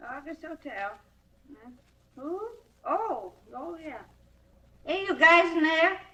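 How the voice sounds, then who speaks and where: A woman's voice: very high voice, animated, slow, bright, forceful tone, lo-fi sound, full character voice. An actress playing a tough, stubborn older woman who keeps a hotel, speaking bluntly in a 1950s radio drama. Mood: brusque. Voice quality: gravelly, blunt. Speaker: hotel keeper. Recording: vintage 1950s radio broadcast.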